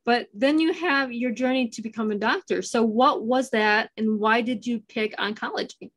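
Host: woman, slightly high voice, chatty and laughing easily. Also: moderate pace, fairly bright tone. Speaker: podcast host. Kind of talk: casual interview chat.

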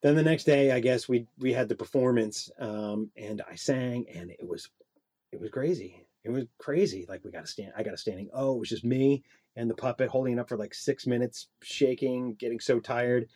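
A man's voice: medium pitch, normal speed, mellow, dry recording. A man speaking as a guest puppeteer, telling anecdotes casually in an interview and acting out bits of dialogue.

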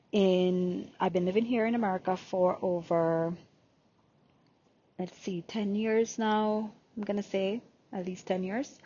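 A woman in her forties from Jamaica with a slight Jamaican accent, hosting a podcast in an unhurried, drawn-out monologue. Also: medium pitch, dark tone. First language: Jamaican Patois. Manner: slow, unhurried, drawing out words in lists. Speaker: podcast host and spiritual healer. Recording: dull lo-fi recording.

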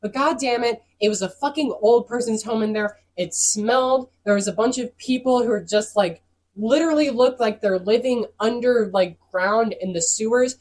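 A woman co-hosting a casual podcast, telling a profane, ranting story while her voice is stuffed up and going hoarse. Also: fairly high pitch, normal speed, darkish tone, poor audio. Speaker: podcast co-host.

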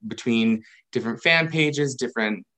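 A man in his thirties from New York State chats as a guest on a podcast. His voice sits at a middle pitch, and he talks in a friendly, relaxed way that drifts and restarts. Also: normal speed. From New York State, USA. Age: 30s. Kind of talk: casual interview chat.

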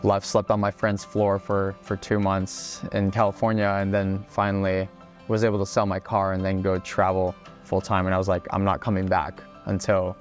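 Young man, podcast guest, relaxed chat, many fillers, deep-ish voice, faint music behind. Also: normal speed. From Czech Republic, raised in Hawaii. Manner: relaxed, many fillers and restarts, laughs often. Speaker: guest, travel creator. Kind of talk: casual podcast interview.

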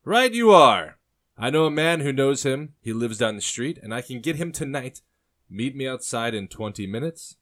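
A man's voice: mid-range voice, sing-song, a little slow, a bit bright, pitched above the performer's own voice. A man voicing a shifty, sly barkeep in an audiobook story, eager and wheedling as he angles for coin. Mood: obliging, nervous.

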